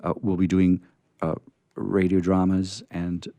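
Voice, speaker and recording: Deep-voiced, gravelly man hosting radio. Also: monotone, measured pace, mellow, dry recording. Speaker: host of a radio storytelling show. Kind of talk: casual unscripted show chat.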